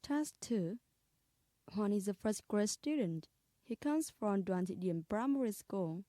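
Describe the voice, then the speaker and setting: Mellow woman reading textbook exercises. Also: mid-range voice, lively intonation, measured pace, no room sound. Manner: plain, careful dictation of items. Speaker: English listening-practice reader.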